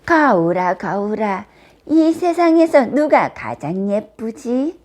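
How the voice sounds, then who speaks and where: A woman's voice: on the high side, very animated, slow pace, a little mellow, full character voice. A woman voicing a vain, imperious wicked queen in a children's fairy tale, theatrical and commanding.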